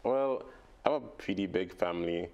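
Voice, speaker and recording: Brief: young man, very low and gravelly voice, halting and laughing as a radio guest. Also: darkish tone, dull audio. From British Virgin Islands. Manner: halting, laughs often, many fillers. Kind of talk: casual radio interview. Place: radio studio.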